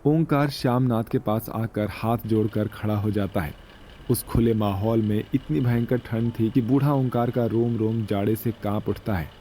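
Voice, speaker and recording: A man's voice: fairly low pitch, normal speed, mellow, slight background noise. A man narrating a scripted moral story as the storyteller.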